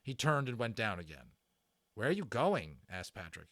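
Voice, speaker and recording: A man reads a children's adventure story aloud. His delivery is theatrical and animated, with a medium pitch that swings widely and pauses placed for suspense. The sound is dry, with very little room in it. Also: no room sound. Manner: theatrical, with stressed words and dramatic pauses. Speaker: audiobook narrator and channel host. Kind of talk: dramatic read-aloud storytelling of a children's novel.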